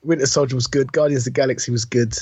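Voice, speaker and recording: A man co-hosting a podcast, chatting casually about films in an anecdotal way with a slightly husky voice. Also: mid-range voice, steady tone, rapid-fire, a little mellow, no room sound. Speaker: podcast co-host. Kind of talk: casual film chat.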